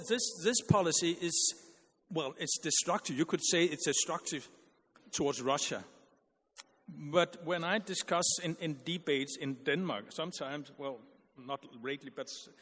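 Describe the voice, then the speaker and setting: A man giving an earnest, argumentative conference panel speech, halting with frequent restarts and repeated words. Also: a little high, fairly bright tone, in a large hall.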